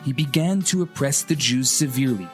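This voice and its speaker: A male radio host narrates in a scripted storytelling style. His voice sits at a medium pitch, with light music playing underneath. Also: fairly quick.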